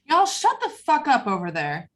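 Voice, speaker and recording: A woman's voice: high voice, huge pitch swings, normal speed. An actress playing an angry, blunt, foul-mouthed woman in a table read of a film script. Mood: hostile. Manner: combative, blunt.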